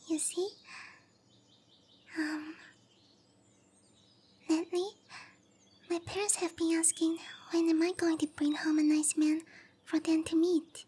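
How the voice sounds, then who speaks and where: A woman playing a small, shy and eager young cow monster girl mage in an anime-style roleplay ASMR, with bashful, hesitant, breathy delivery. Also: very high-pitched. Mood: shy, pleading. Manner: bashful, hesitant, breathy murmurs between bright chatter.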